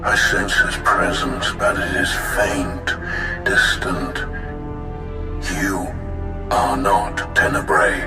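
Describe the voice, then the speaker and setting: A very deep, slow-spoken ancient Sith spirit, grave and commanding, his voice ringing as if through a hollow chamber. He drags out each word over a swelling game score. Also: lively intonation, roomy sound, over music. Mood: ominous. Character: ancient male Sith Lord spirit, proud and weary. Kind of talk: video game cutscene acting.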